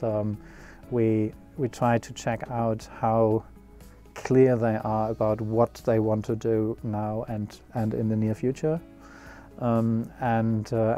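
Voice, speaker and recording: A man's voice: on the low side, warm tone. A middle-aged man with a German accent, talking casually about sailboats with many fillers and restarts. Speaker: yacht builder CEO. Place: outdoors on a sailboat cockpit.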